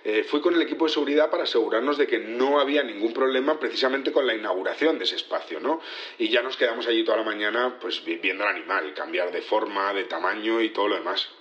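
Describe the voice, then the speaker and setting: A man plays an unsure witness in a courtroom audio drama, a casual security supervisor. His voice is lowish and halting, in slightly muffled sound. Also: a bit bright, lightly acted. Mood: unsure, offhand. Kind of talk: naturalistic audio fiction acting.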